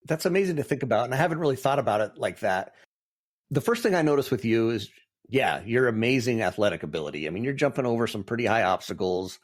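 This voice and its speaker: A man's voice: medium pitch, lively intonation, fast talker. A man hosting a podcast, chatty and enthusiastic as he interviews his guest. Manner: enthusiastic, rambling, warmly encouraging. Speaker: podcast host. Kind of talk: casual interview.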